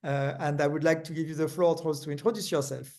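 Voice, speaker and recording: A man's voice: fairly high pitch, steady tone, fast, a little mellow. A man with a Danish accent, co-hosting an interview, talking hesitantly with many fillers. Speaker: co-host, management consultant.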